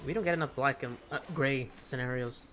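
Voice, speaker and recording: Low-voiced, quick woman streamer. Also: a little hiss or hum. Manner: informal, chatty reactions. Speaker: gaming streamer. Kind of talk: casual let's-play commentary. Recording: loud game music underneath. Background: game music.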